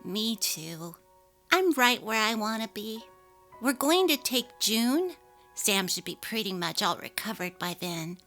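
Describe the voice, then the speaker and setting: A woman's voice: mid-range voice, sing-song, measured pace, a bit bright. A woman voicing an earnest, resolute young wife and mother in a dramatically read story, with emphatic, heartfelt delivery. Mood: content. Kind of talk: dramatic reading of a text-message story. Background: music.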